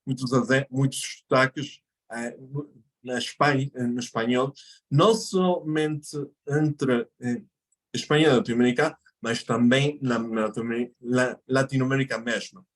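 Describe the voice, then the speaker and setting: Male guest from Mexico, native Spanish speaker, mid-pitched voice, thinks aloud as he explains, restarts his phrases. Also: steady tone. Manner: explanatory, thinks aloud with pauses and self-corrections. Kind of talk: casual interview conversation.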